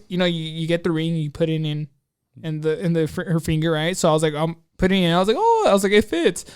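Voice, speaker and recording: A man with a fairly high, smooth voice tells an anecdote on a casual podcast. He rambles excitably in slang, acting out his own asides, in a very dry, close space. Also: no room sound. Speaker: podcast co-host telling a personal story. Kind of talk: casual chat, anecdote storytelling.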